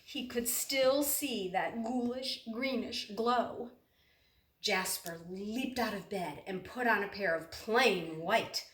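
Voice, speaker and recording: A woman reads a storybook aloud to children in a roomy, echoing space, a little away from the mic. Her delivery is expressive and unhurried, with dramatic stretches and questions put to her young listeners. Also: mid-range voice, far from the mic. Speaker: storybook reader. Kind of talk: children's picture-book read-aloud with asides to young listeners.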